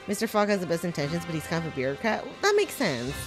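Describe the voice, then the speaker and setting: A young woman chatting casually while streaming a game, giggly and delighted. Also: lowish voice. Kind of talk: casual live-stream chat.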